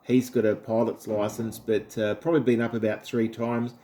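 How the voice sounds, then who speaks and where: A man's voice: lowish voice, flat delivery, normal speed, warm tone. A man with an Australian accent chatting casually as an amateur radio operator, friendly and complimentary in manner. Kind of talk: casual ham radio conversation.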